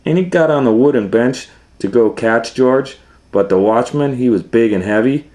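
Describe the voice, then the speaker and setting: A man reading a story aloud to young children in a dramatic, loosely retold way, moving between hushed asides and excited swells. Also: medium pitch, moderate pace. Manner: dramatic, hushing to near whispers and swelling with excitement. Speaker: storytime host and reader.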